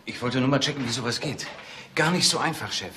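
An actor playing a cheeky, eager young police assistant in a radio play. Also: male voice, medium pitch, quick pace, background noise, close to an everyday voice. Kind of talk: German radio play acting.

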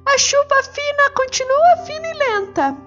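A woman's voice: very high voice, animated, normal speed, pitched above the performer's own voice. A woman voicing a bored, indignant little boy who whines close to tears. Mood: sulky. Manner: whiny, tearful. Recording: slightly muffled with soft music.

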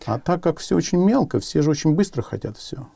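A man in his fifties speaks reflectively in an interview, with heavy vocal fry and a very dark tone. He phrases things in measured, hesitant pieces in a dry studio. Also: on the high side, big pitch swings, no echo. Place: small soundproofed studio, seated.

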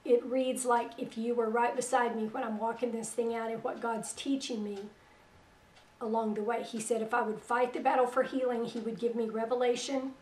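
A middle-aged woman hosting religious internet radio. Her voice is weak after a stroke, and she talks in a soft, plain-spoken, unhurried way. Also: a little high, little pitch movement, roomy sound, far from the mic, slight background noise, low-quality recording. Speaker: radio host. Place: small room at home, indoors. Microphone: headset.

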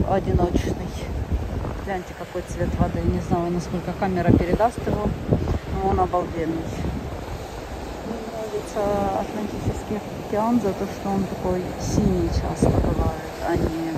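Woman walking by the ocean filming on her phone, chatty and excited, heavy wind noise. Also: fairly low pitch, normal speed. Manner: chatty, enthusiastic, exclaiming at the view. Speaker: vlogger. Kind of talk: casual walking vlog. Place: windy seaside promenade outdoors. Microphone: phone camera held while walking. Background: strong wind and crashing waves.

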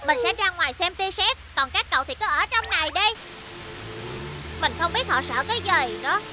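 A woman's voice: very high voice, lively intonation, moderate pace. A woman voicing a bold, stubborn little boy truck in a dubbed children's cartoon, urging and exclaiming childishly. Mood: uneasy but stubborn. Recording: loud music behind the voice.

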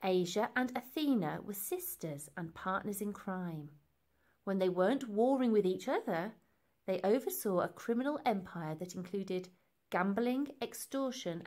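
A woman reading a children's story aloud in an expressive, deliberate and emphatic way. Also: mid-range voice. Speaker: storybook reader.

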